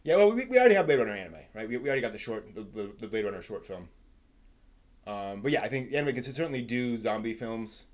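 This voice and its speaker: A man hosting a casual live stream, thinking aloud in a halting, rephrasing way while giving emphatic opinions. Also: mid-range voice, sing-song. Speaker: livestream host. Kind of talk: casual live-stream chat, answering viewers' comments.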